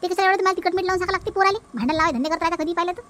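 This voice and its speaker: Woman acting determined young village wife, family cartoon, high voice, fast talk, emphatic, Vidarbha Marathi accent. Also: fairly bright tone, a little hiss or hum. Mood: defiant. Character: proud, determined young daughter-in-law, house-proud village wife. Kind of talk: comic family cartoon dialogue.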